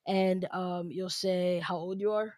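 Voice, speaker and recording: A young adult hosting a casual podcast, upbeat and teasing, with quick asides. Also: medium pitch, flat delivery, a little mellow, dry recording, studio quality. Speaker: podcast host.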